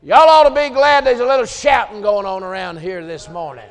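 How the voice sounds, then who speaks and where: A man in his late forties with a Southern US accent, preaching a revival sermon in a church. He drops into quiet joking asides with the congregation, then climbs to hollered scripture. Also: sits high, fairly even intonation, a little fast, in a large hall. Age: 40s. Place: church sanctuary.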